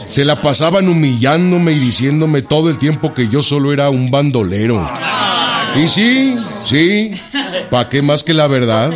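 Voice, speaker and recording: Give this voice to a man acting as a plainspoken, defiant revolutionary chief with a northern Mexican accent. He talks in earthy slang with a folksy swagger at a middling pitch. It is a radio drama, with music clearly behind him. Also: close to an everyday voice.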